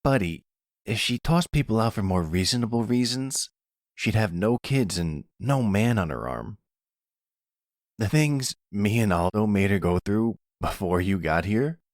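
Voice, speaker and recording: A man's voice: fairly low pitch, lively intonation, unhurried, no echo, clean studio sound. A man playing a young son in a roleplay audio drama, casual, teasing and blunt, protective of his family. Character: cheeky, protective young son, an aspiring chef.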